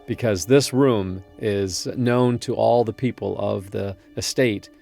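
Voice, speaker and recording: A man's voice: on the low side, fairly even intonation, slow pace, creaky, mellow. A man talking earnestly in an interview, explaining a dramatic story. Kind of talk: promotional interview talk about a drama. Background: music.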